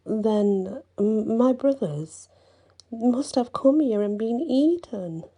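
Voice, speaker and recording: A woman's voice: on the high side, animated, slow pace, warm tone, husky, pitched above the performer's own voice, exaggerated voice. A woman storyteller voicing an earnest, polite young prince.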